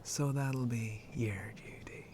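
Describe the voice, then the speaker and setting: A man playing a flirty, roguish shark-man pirate captain in an ASMR roleplay, with a breathy, often whispered voice and teasing pirate speech. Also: noisy background, lightly acted. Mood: instructive, roguish. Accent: put-on pirate speech. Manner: slow, teasing, drawling pirate talk with low chuckles. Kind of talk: ASMR roleplay addressed to the listener.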